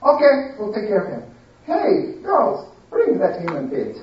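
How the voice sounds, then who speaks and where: A woman's voice: wildly swooping pitch, measured pace, muffled recording, slight background noise. A woman voicing a spoiled, bossy rich lady who whines out commands to her servants. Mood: gushing. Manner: shrill, whiny commands. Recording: echoey room, voice from far off.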